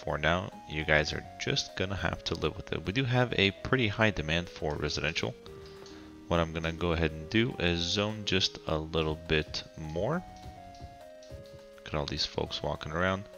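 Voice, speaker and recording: A very low-pitched man talks through his city build in an easy, halting way, his voice raspy as he gets over a cold. His tone is bright and forward, and soft music plays behind him. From Colorado. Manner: relaxed, halting, frequent ums and restarts. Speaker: game commentator. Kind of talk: casual gameplay commentary.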